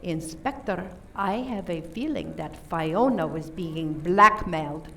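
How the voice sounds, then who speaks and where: A woman playing a coy, gossipy murder suspect in an amateur radio play, measured and insinuating as she deflects blame. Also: on the low side, gravelly, a bit bright, recorded from a distance, slight background noise, exaggerated voice.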